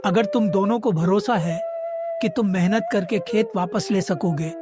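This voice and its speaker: Ailing elderly father, acted out, gentle, yielding, earnest, cartoon moral story, flute music clearly behind. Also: medium pitch, normal speed, close to an everyday voice. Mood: resigned trust. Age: older.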